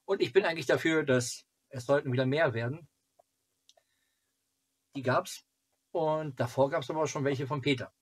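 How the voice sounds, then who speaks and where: A man's voice: a little high, normal speed, pauses often, husky. A man in his forties chatting casually to viewers as a channel host, rambling and thinking aloud. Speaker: host of a children's TV fan club channel. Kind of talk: casual vlog chat to viewers. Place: small cluttered room at home, indoors. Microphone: camera or webcam at arm's length.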